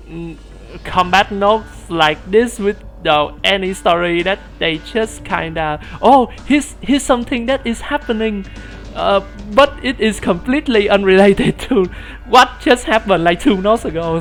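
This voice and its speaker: A man's voice: sits high, vocal fry, fairly bright tone. A man with a youthful, boyish voice streaming casually, rambling with many fillers and restarts and laughing often. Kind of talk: casual live-stream commentary.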